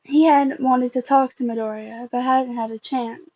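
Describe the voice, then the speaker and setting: A woman reading fan fiction aloud as an amateur, in a halting way, often stumbling and repeating phrases. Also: sits high, fairly even intonation, dark tone, no echo, highs cut off.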